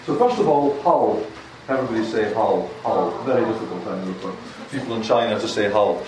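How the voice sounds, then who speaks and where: A man's voice: fairly low pitch, expressive, fairly quick, a little mellow. An older man with a Scottish accent giving a chatty, self-deprecating university lecture, often repeating words. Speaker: guest lecturer. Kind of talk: academic lecture. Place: large lecture hall. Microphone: handheld mic, heard from a distance. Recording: echoey hall, distant mic, faint noise.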